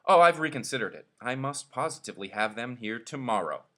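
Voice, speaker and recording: A man's voice: mid-range voice, expressive, fairly quick, sharp tone. Man playing an eager, effusive headmaster. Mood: pressing. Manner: effusive and pressing. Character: eager, vain, ingratiating male headmaster, music-obsessed.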